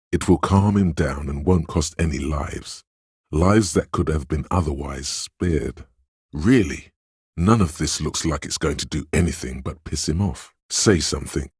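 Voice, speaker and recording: An actor voicing a sly, coaxing inner voice of a detective's mind in a video game, deliberate and theatrical, with a gravelly, husky tone. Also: male voice, extremely low pitch, a little mellow, dry recording, studio quality, full character voice. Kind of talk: scripted game voice-over narration.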